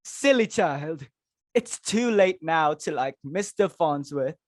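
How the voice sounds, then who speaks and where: A woman's voice: sits low, expressive, slow, no echo, studio quality. A woman playing a flustered, imperious middle-aged society hostess and mother in a stage comedy reading, dramatic and exclamatory, repeating herself for emphasis. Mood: grand, satisfied.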